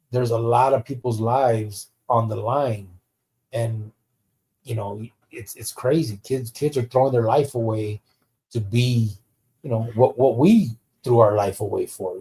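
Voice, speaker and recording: A man's voice: medium pitch, mellow. A man from the United States hosting a live stream in casual chat, blunt and profane, dropping slang into his English and reading out comments. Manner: slips Spanish slang into English. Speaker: podcast host.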